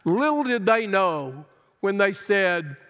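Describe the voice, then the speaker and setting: High-voiced preacher, slow and emphatic. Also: male voice, sing-song, fairly bright tone. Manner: earnest, emphatic preaching with wide hand gestures. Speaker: pastor. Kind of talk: sermon. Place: large church sanctuary, indoors. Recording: long church hall reverb.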